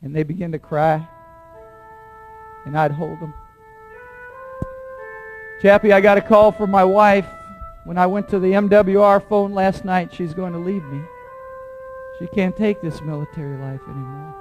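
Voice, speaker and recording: A man's voice: high-pitched, fairly even intonation, measured pace, pauses often, faint music behind. A male military chaplain telling first-hand stories with a rhetorical, repetitive, impassioned cadence.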